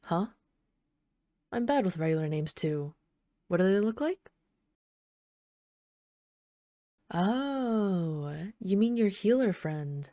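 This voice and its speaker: A woman playing an obsessive, flirtatious villain in an intimate ASMR roleplay, speaking softly and unhurriedly with teasing, casual menace. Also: medium pitch, expressive, slow, dry recording, clean studio sound. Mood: casual, smug. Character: obsessive yandere villain, flirtatious bomber calmly toying with trapped hero.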